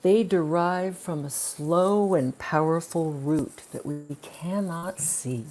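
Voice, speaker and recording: A woman reciting poetry in a spoken-word performance, with measured, deliberate phrasing and long pauses. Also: sits low, noisy background. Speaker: poetry reader.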